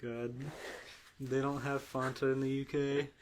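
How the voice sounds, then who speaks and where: Male co-host for joking podcast commentary; medium pitch, dry and sardonic, slight noise on the recording. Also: flat delivery, a little slow. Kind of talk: casual comedic banter.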